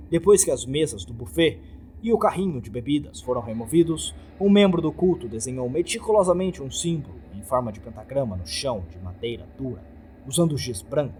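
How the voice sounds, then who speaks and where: A man's voice: mid-range voice, darkish tone. A man with a Brazilian accent reads a scripted horror story as a dramatic narrator. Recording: faint background noise.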